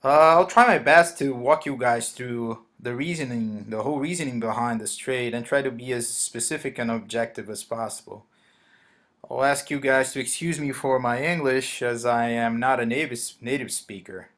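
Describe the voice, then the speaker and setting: A man with a Brazilian Portuguese accent talks through a chart with many pauses and restarts. His pitch sits in the middle of the male range. He speaks English as a second language, carefully and without polish, as if recording at his desk. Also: fairly bright tone. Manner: hesitant, many fillers and restarts. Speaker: forex trader. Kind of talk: screen-recorded trading walkthrough.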